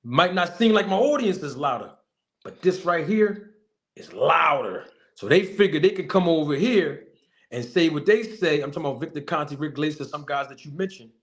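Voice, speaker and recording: A man hosting his own online show, speaking in a somewhat high register for a man. His delivery is forceful and full of repetition and street slang. He hammers each point home as he makes his case to a guest. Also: lively intonation, moderate pace. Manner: forceful, repetitive, preacher-like emphasis; casual slang-heavy speech. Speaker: boxing manager and channel host. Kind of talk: emphatic casual talk show monologue.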